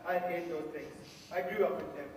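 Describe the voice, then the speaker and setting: A young Indian man on an amateur stage, playing an earnest, quiet juror who grew up in a rough neighborhood. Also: on the high side, steady tone, a little fast, close to an everyday voice. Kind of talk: amateur stage drama acting. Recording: echoey theatre hall recorded from far off, hiss.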